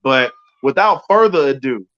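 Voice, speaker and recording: A man's voice: medium pitch, lively intonation, fairly bright tone, slight background noise. A middle-aged man hosts a sports talk show over a video call, speaking with eager energy and frequent restarts. His voice sits in a middle range, and the call audio sounds somewhat dull and lo-fi through his headset. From United States. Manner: enthusiastic, talks fast with fillers and restarts. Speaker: show host.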